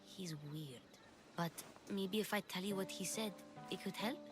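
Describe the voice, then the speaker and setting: A woman's voice: medium pitch, unhurried, close to an everyday voice. A woman voicing an eager, earnest little girl who likes to sneak and help, in a video game cutscene. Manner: earnest, childlike. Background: background music.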